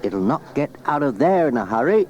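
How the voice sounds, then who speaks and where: A man's voice: mid-range voice, very animated, a little fast, darkish tone, voice pushed up, lightly acted. A man voicing an indignant, grumbling countryman in a children's storybook, emphatic and exclaiming. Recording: dull cassette transfer with music.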